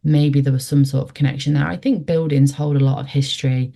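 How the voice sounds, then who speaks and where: Woman in her twenties, guest, low mellow voice, reflective and halting. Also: steady tone, gravelly. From West Yorkshire, England. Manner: reflective, hesitant, lots of fillers. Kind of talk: conversational storytelling interview.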